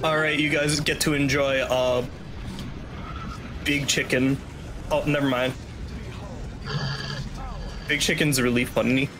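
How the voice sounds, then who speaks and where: A young man doing casual live commentary as a speedrunner, in a halting way that repeats and restarts phrases. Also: mid-range voice, level tone, background noise. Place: indoor room at home, webcam stream. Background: video game music and vehicle-like game sounds.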